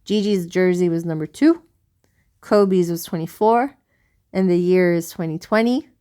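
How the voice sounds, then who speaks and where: A woman's voice: lowish voice, a little slow, mellow, smooth. A woman co-hosting a podcast in casual conversation, talking in an earnest, rambling, musing way. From South America. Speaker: podcast co-host.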